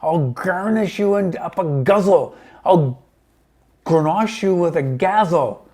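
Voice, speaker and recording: A man's voice: high-pitched, lively intonation, takes it slowly, a little mellow, pitched above the performer's own voice. A man voicing a beastly, furious old woman who screeches threats and insults in a storybook reading. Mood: menacing, furious.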